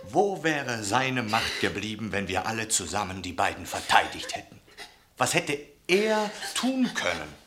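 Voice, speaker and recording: An actor playing an agitated, reproachful outsider of conscience in a radio play, speaking with passionate exclamations in a roomy space. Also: male voice, on the low side, very animated, a little slow, pushed and bright, slight background noise, exaggerated voice. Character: agitated, accusing man of conscience, outsider in town. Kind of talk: German radio play acting.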